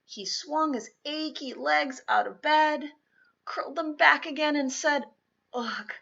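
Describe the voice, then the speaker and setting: A woman reading a children's storybook aloud expressively, with dramatic, drawn-out emphasis on words. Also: sits high, steady tone, creaky, a bit bright, highs cut off. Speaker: storybook reader.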